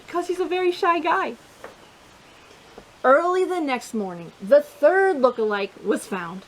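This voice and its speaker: A woman with a high voice reads a children's picture book as a storytime host. She is expressive in her delivery and often steps out of the text to chat or put questions to her young listeners. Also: unhurried, a little hiss or hum, low-quality recording. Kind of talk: read-aloud children's storytelling with chatty asides.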